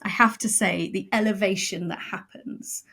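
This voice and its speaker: A woman, a guest on a podcast, talking in an expressive, enthusiastic way. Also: medium pitch, normal speed, breathy edge. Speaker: audio drama writer, guest. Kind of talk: conversational podcast interview.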